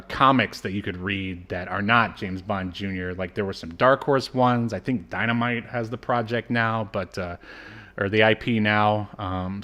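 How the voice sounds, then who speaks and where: A man co-hosting a podcast in casual review chat, with a halting, rambling delivery. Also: lowish voice, sharp tone. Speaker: podcast co-host.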